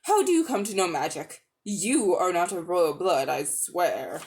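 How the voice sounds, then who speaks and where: A woman's voice: lowish voice, very animated, measured pace, darkish tone. A woman voicing a haughty, scornful witch queen who commands with contempt in a dramatic storybook reading.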